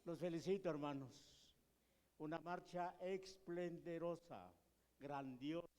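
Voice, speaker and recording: A man's voice: on the high side, slow, a little mellow. An older man from Guatemala, a visiting guest, gives a fervent, formal greeting to a congregation in a large church hall. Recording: long church reverb.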